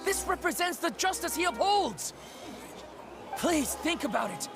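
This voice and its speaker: Indignant game bystander lady, really high voice, pleading and insistent, music behind. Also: sing-song, unhurried, a crowd in the background, exaggerated voice. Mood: earnest, pleading. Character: indignant female courtroom onlooker, earnest and insistent. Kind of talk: dramatic video game acting.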